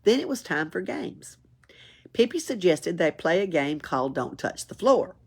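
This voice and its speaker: Animated, husky woman reading a story. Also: fairly low pitch, moderate pace. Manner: expressive, chuckles and adds asides. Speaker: library read-aloud reader. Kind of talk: children's story read-aloud.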